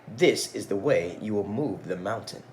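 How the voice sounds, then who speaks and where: A man reads the part of a solemn old sage in a storybook. He gives patient, emphatic instructions with strongly varied pitch. Faint noise sits behind him. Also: lively intonation, moderate pace, a little mellow, lightly acted. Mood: solemn, instructing.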